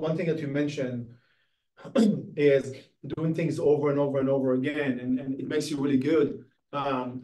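Mellow male interviewer in a roomy space. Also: medium pitch. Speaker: host, interviewer. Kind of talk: casual interview chat. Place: small indoor studio set, seated. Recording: roomy, distant mic.

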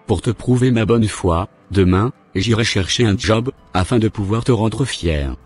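Jeune fils fautif, voix grave voilée d'un comédien. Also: male voice, fairly low pitch, a little slow, a little mellow, slightly rough, faint music behind. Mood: pleading. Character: wayward, pleading young son, a schemer. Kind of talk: scripted cartoon dialogue.

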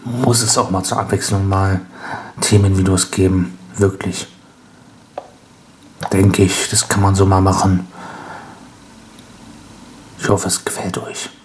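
A man's voice: low voice, level tone, measured pace, long pauses, darkish tone, slight background noise. A man with a cold, sounding stuffy, rambling wryly as he hosts a casual gameplay commentary. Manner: rambling, self-mocking, wry. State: congested with a cold. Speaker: let's play host.

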